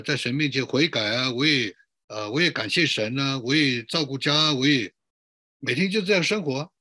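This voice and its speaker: A male pastor giving a sermon in an emphatic way, building up repeated lists and rhetorical questions, with a husky voice. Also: mid-range voice, bright, forceful tone, dry recording, clean studio sound. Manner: emphatic, repetitive listing.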